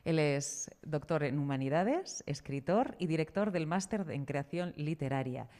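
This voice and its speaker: Low-voiced woman. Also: lively intonation, a little slow, dark tone. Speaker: event presenter. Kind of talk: public introduction at a round table.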